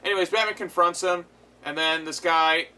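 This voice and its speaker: Bright, higher-voiced man reviewing casually. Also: moderate pace. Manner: animated retelling with frequent swearing. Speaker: reviewer and host. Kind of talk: unscripted casual episode review. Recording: clear background noise.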